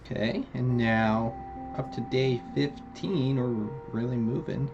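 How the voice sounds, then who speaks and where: A man giving relaxed commentary as he plays a video game, with game sound behind him. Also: mid-range voice, takes it slowly, muffled recording, over music. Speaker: video game let's player. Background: video game ambience.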